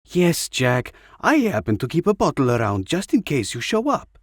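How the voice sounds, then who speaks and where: A man voicing a brisk, teasing, exasperated older woman in a narrated story. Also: on the high side, huge pitch swings, no room sound, clean studio sound, voice pushed up.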